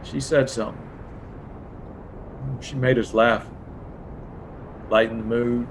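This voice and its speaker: A man's voice: fairly even intonation, slow, low-quality recording. A man playing a commanding, grieving survivor leader in a dramatic table read over a video call. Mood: grieving, halting. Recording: video call with faint rumbling noise.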